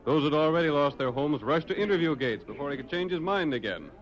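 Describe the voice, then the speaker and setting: A man's voice: on the high side, animated, fast, lightly acted. A man narrating a radio western as a dramatic storyteller with an American accent. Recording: dull vintage radio recording.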